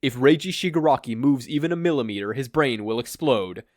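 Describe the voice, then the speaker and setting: A man's voice: mid-range voice, fairly quick, a little mellow, no room sound, clean studio sound, natural voice. A man playing a bold, defiant female superhero with a blunt, emphatic way of talking.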